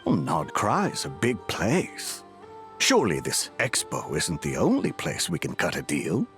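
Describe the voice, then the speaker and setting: An actor playing a shifty underworld dealer in a video game, talking in a sly, coaxing way. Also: male voice, deep voice, wildly swooping pitch, unhurried, fairly bright tone, soft background music, heavily acted.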